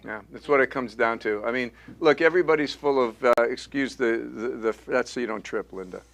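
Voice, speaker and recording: A man's voice: medium pitch, fairly quick, gravelly, fairly bright tone. A man teaching a live acting class as the coach, talking in an emphatic, repetitive way and drilling the students to repeat key phrases. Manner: emphatic, repetitive, punchy call-and-response teaching.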